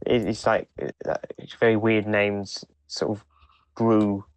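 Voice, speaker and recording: A man co-hosting a podcast, talking casually in a hesitant, halting way with repeated starts. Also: on the low side, monotone, clean tone, dry recording. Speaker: podcast co-host. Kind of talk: casual chat.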